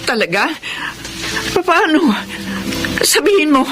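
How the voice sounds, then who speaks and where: An actress playing an anxious, devoted mother in a Filipino radio drama, halting, emotional and pleading. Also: sits high, very animated, over music, heavily acted. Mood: hopeful.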